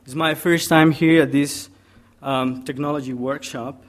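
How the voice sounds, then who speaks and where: A young man from Peru presents a technical topic at a conference. He talks haltingly, with many ums, walking through each point step by step. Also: fairly high pitch, steady tone.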